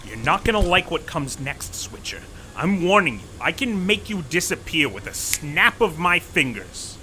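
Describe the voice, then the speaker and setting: A man's voice: a little high, big pitch swings, moderate pace, noisy background. A man playing a pompous, exasperated corporate vice president in an audio drama, speaking in a formal, condescending, officious way. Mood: threatening.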